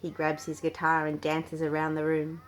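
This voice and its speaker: A woman reading a children's storybook aloud in an animated, expressive and playful way. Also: low-pitched, normal speed, slight background noise, rough recording. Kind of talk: read-aloud children's storybook narration with acted dialogue.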